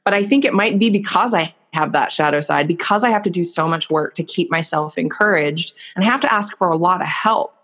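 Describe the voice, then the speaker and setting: A woman's voice: fairly low pitch, fairly quick, hardly any pauses, fairly bright tone. A woman in her thirties, a podcast guest, telling her life story in a candid, reflective way with plenty of filler words. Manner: reflective, earnest, many fillers. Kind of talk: candid personal storytelling in conversation.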